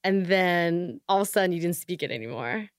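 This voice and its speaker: Woman interviewer from Taiwan, attentive and soft-spoken, lowish voice, dry close sound. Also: expressive, fairly quick, clean tone, dry recording. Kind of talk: conversational interview.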